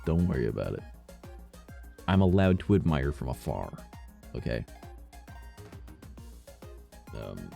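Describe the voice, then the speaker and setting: A man streaming a game, chatting casually and swearing a lot, his voice rough today, swinging between low mumbles and loud bursts.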